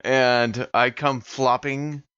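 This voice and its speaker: A man's voice: medium pitch, level tone, drawn-out words, fairly bright tone, clear, resonant tone, no echo, dull audio. A man chatting casually as a player in a tabletop game, describing what his character does.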